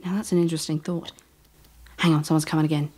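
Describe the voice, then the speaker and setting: An actress playing a sharp, wry woman investigator in a fantasy audio drama, inquisitive and plain-spoken. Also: deep voice, level tone, fast, breathy edge. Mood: musing.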